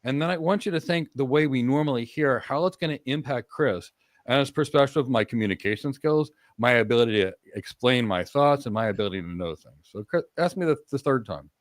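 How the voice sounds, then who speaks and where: A man hosting a live-stream career talk, acting out mock job interview answers in a lively, demonstrative way. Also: mid-range voice, a little fast, dry recording, studio quality. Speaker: host.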